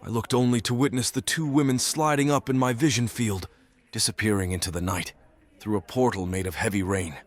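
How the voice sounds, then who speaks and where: A man playing a brooding stalker who narrates an audio drama in deliberate, detached, literary phrases. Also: fairly low pitch, fairly even intonation, lightly acted. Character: brooding male stalker narrating his nightly watch. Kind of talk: scripted first-person narration, audio drama.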